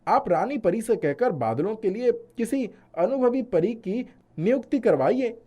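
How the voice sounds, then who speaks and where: A performer acts out a proud, stubborn male cloud from a fairy-tale cartoon. The voice swoops widely in pitch and sounds pompous and sure that it is always right. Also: lively intonation, a little slow, mellow. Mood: smug, defiant. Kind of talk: animated storybook character acting.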